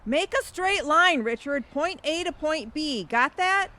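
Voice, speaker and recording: A woman as a brash, animated, sarcastic businesswoman. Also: sits high, unhurried, no echo, heavily acted. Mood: scornful. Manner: snappy, scornful, emphatic. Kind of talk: audio comedy drama acting.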